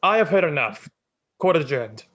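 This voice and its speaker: A man's voice: fairly high pitch, big pitch swings, normal speed, a bit bright, muffled recording. A man playing a stern, sneering lord who presides over a court, in a dramatic script reading. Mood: angry dismissal.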